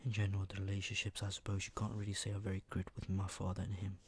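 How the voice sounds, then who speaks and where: A young man speaking in a soft ASMR half-whisper, unhurried and rambling, with a slightly sore, flu-worn voice. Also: deep voice, completely flat delivery, breathy edge. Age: young adult. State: mildly sick, recovering from flu, sore voice. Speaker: ASMR video host. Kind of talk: ASMR, soft-spoken product show-and-tell. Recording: noticeable background noise with clicks.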